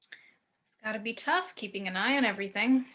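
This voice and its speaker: A woman playing a probing, smoothly casual undercover federal agent in an amateur script read. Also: medium pitch, moderate pace, fairly bright tone, close to an everyday voice.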